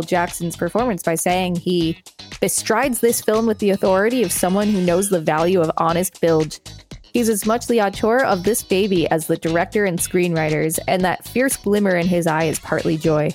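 A woman's voice: fairly low pitch, normal speed, a little mellow, faint music behind. A woman co-hosting a podcast, reading an article aloud and chatting casually about it. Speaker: podcast co-host.

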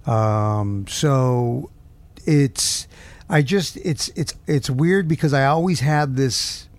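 A man's voice: medium pitch, takes it slowly. A man from Southern California, a guest musician in a casual interview, rambling and searching for words. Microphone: handheld mic held close.

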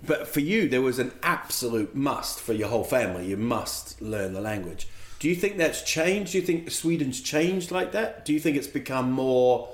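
Male co-host, from England or New Zealand, hesitant, mid-pitched voice, echoey room. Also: lively intonation. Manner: halting, searching for words, frequent agreeing interjections. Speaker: comedian co-host. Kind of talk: casual chat. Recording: roomy echo.

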